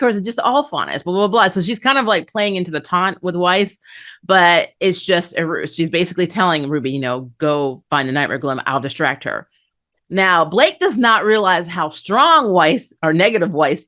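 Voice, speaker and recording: A woman's voice: lowish voice, a bit bright. A woman hosting a review show, talking through an episode in a casual, conversational and explanatory way. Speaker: host of an anime review show.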